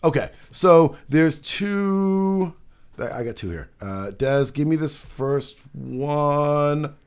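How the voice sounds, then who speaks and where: A man's voice: a little high, slow, clean tone. Rambling male political talk host. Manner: rambling, many restarts and fillers. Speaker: show host. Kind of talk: casual political chat.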